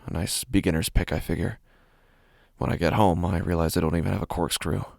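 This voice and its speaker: A man acting an unraveling, despondent office worker in a first-person horror podcast monologue, halting and brooding with a gravelly voice. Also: low voice, steady tone, darkish tone, no room sound, studio quality, heavily acted. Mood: weary, subdued. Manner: halting, brooding, breathy near-whispers, repeats words. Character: despondent, unraveling male office worker getting drunk alone. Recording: music playing under the voice. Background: prominent music bed.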